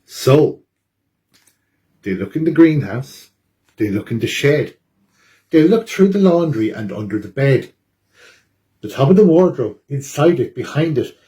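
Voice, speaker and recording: A man reading a children's picture book aloud at a library storytime, with dramatic, expressive delivery. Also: mid-range voice, unhurried, long pauses.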